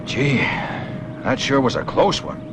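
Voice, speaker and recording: An actor playing a confident, easygoing heroic rocket pilot in a science fiction series, clipped and breezy. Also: male voice, mid-range voice, very animated, unhurried, noisy background. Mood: relieved. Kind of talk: 1960s children's science fiction puppet series acting. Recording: dull vintage sound, loud music behind.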